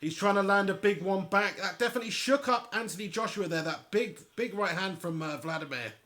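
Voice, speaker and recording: A man giving chatty, excitable live commentary on a boxing match and talking straight to his viewers. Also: high-pitched, a little fast. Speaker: livestream fight commentator.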